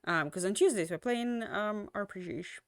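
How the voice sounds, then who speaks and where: A woman's voice: medium pitch, moderate pace, no echo, studio quality. Tired woman streamer with a fading voice. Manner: rambling, many fillers and restarts. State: losing her voice. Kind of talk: casual end-of-stream chat.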